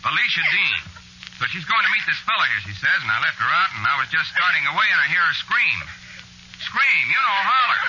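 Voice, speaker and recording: An actor playing a plain-spoken, flustered country man with a folksy, excitable manner in an old-time radio play. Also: a little high, a little fast, slightly rough, exaggerated voice. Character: plain-spoken, flustered rural man reporting a strange death. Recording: dull, faintly noisy vintage radio.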